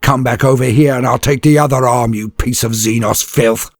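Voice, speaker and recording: A man's voice: sits low, very animated, measured pace, gravelly, slightly rough, no echo, full character voice. A man voicing a grim, determined young male trooper who speaks in clipped, defiant lines.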